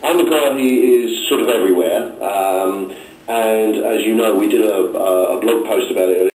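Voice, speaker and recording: A man's voice: fairly low pitch, moderate pace, darkish tone. A man from Essex, a radio presenter, chatting in a meeting and repeating words as he goes. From Essex, England. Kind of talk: editorial meeting chat. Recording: echoey room from far off.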